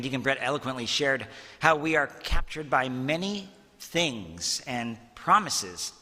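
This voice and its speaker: A man, a priest, giving an earnest, measured homily and asking the congregation rhetorical questions. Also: medium pitch, pushed and bright. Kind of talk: sermon. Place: church. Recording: long church reverb.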